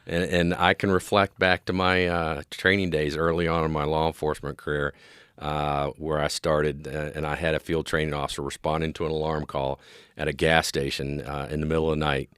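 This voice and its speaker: A man's voice: a bass voice, little pitch movement, a little fast, a bit bright, clear, resonant tone, no echo, studio quality. A middle-aged American man explaining his field as an interview guest, earnest and emphatic in agreement. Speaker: interview guest, police dispatch specialist and former officer.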